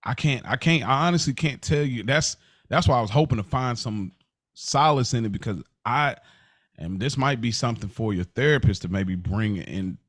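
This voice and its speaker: A man talks as a podcast co-host in a reflective, unhurried conversational way. He feels his way through ideas aloud, often posing questions to himself. His middle-pitched voice is recorded dry, with hardly any room sound. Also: no echo. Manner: searching, thinking out loud with rhetorical questions. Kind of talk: casual, reflective podcast chat.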